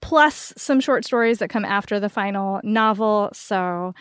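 A woman's voice: medium pitch, a little slow, smooth, dry recording, studio quality. A woman co-hosting a book podcast, giving recommendations in a casual, enthusiastic and emphatic way.

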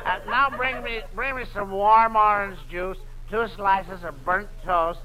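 An actor playing a grumpy, fussy diner customer in 1940s radio comedy, complaining in a deadpan way. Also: male voice, high voice, a little slow. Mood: dour. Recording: very noisy vintage radio recording.

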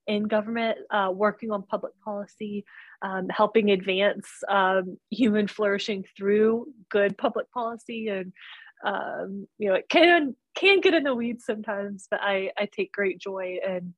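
A woman in her thirties answers interview questions in an earnest, thoughtful way. She often pauses with fillers as she gathers her words. Her voice sits at an ordinary pitch and sounds close and dry, with no room echo. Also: lo-fi sound. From born in Romania, raised in North Carolina. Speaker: guest author. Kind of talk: casual interview.

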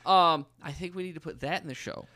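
A man's voice: a little high, fairly quick, pushed and bright, no room sound. A man co-hosting a podcast in casual banter, joking and teasing as he talks. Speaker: podcast co-host and musician.

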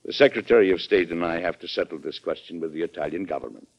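Firm, low-voiced president, old radio drama. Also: male voice. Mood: courteous, grave. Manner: formal, deliberate, courteous but firm. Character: firm, measured American president facing a diplomatic crisis. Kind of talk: classic radio drama acting. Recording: dull old radio broadcast recording.

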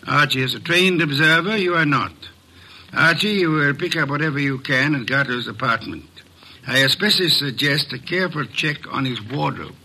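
Pompous, bright-voiced older radio detective. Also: male voice, fairly high pitch, expressive, normal speed, husky, exaggerated voice, low-quality recording. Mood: imperious, businesslike. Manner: formal, precise, dismissive diction. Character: pompous, unflappable, erudite man who runs a detective practice. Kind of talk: 1940s-50s radio drama acting.

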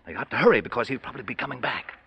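Casting: fast-talking, persuasive middle-aged schemer, husky and animated, old-time radio thriller. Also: male voice, medium pitch, dull audio, full character voice. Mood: hushed, urgent. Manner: urgent, persuasive. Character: scheming middle-aged embezzler, outwardly fatherly, urgent and smooth-talking.

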